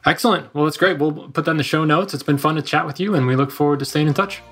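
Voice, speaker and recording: Fast-talking man hosting. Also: on the high side, a bit bright, husky, faint music behind. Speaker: podcast host. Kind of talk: interview hosting.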